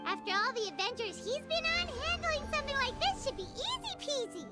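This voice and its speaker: A woman voicing a tiny, childlike, loyal fairy companion in a video game, with a squeaky, cartoonish delivery. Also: sing-song, fairly quick, over loud music. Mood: cheerful.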